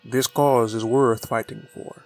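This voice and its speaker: An actor playing a bitter, guarded renegade on the run, dry and terse, in a fantasy audio drama. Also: male voice, medium pitch, steady tone, a little slow, a little mellow, natural voice.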